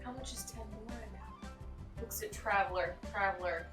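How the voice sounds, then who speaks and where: A woman's voice: mid-range voice, moderate pace, far from the mic, music in the background. A woman giving casual, goofy commentary alongside a video game. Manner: goofy, joking. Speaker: gaming co-commentator. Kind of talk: casual playful gameplay banter. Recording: roomy, away from the mic.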